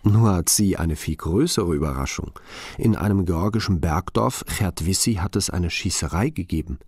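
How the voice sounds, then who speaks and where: A man's voice: sits low, moderate pace, darkish tone, breathy edge, dry recording, studio quality. An actor playing a grave, concerned male investigator in a radio drama, talking in terse, clipped phrases.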